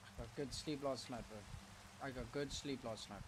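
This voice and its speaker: A man's voice: mid-range voice, fairly even intonation, moderate pace, noisy background. A man live-streaming from his room, sniffly, muttering casually to himself as he sets things up, with slangy, offhand talk. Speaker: livestreamer. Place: home room.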